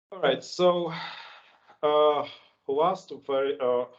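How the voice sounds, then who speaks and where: Man with a strong Russian accent, halting. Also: fairly high pitch. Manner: halting, many restarts and fillers. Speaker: speaking club host. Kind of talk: casual online English lesson chat. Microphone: video call. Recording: lo-fi video call audio.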